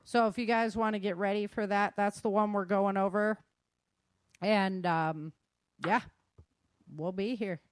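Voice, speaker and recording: A woman's voice: medium pitch, fairly even intonation, a little slow, long pauses, smooth, no room sound, studio quality. A woman chatting casually as host of a live show, informal and slangy, with exclamations.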